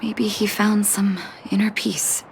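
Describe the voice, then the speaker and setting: A woman voicing a gentle, composed female doctor with quiet sorrow in video game story dialogue, soft and reflective. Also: medium pitch, level tone, slow pace, gravelly, a little hiss or hum, poor audio. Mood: sad, reflective. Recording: background game music.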